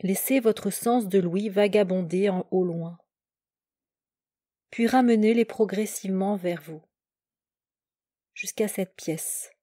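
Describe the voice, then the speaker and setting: Slow, mellow-voiced woman guiding relaxation. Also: medium pitch, dry recording, clean studio sound. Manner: calm, measured instructions in short, separated phrases. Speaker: yoga nidra instructor. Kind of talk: guided relaxation meditation.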